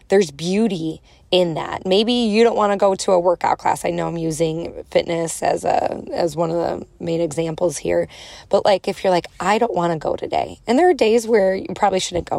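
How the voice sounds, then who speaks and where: A woman's voice: lowish voice, vocal fry, a little mellow, dry recording. A young woman with a strong Chicago accent, hosting a solo motivational podcast in a casual, encouraging way. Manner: casual, encouraging, acts out inner voices. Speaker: podcast host.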